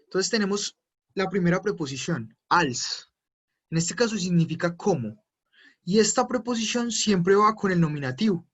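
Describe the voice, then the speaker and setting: A man's voice: high voice, animated, normal speed, a bit bright, breathy edge. A man teaching German in an online class, explaining patiently step by step with frequent fillers. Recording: dull lo-fi online class audio.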